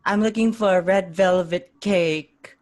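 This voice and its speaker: A woman's voice: lowish voice, measured pace, a little mellow, natural voice. Woman playing a polite, tentative lost shopper. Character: polite, out-of-touch female cake shopper. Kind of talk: improvised comedy scene.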